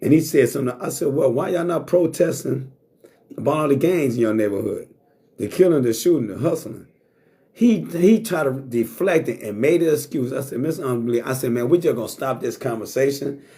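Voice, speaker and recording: A man's voice: mid-range voice, lively intonation, brisk, warm tone. A middle-aged man hosting a talk show, talking bluntly and casually, swearing freely. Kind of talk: casual monologue to live chat.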